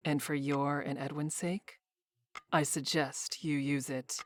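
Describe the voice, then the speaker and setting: A woman's voice: deep voice, fairly even intonation, takes it slowly. A woman voicing a sly, haughty, teasing woman with formal, arch phrasing in a visual novel. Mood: sly, confiding. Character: sly teasing haughty woman, formerly violent, now playful. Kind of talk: visual novel character voice reading.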